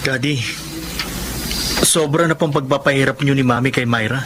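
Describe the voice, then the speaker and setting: An actor playing an earnest, principled young grown son in a Filipino radio drama, respectful but firm. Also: male voice, medium pitch, fairly even intonation, moderate pace, natural voice. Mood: earnest, reproachful. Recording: clear background noise.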